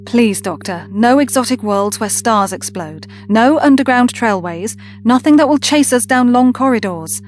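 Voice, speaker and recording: A woman's voice: a little high, lively intonation, normal speed, few pauses, soft background music, natural voice. An actress playing a loyal, earnest female companion to a time-traveller in an audio drama. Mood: weary, trusting.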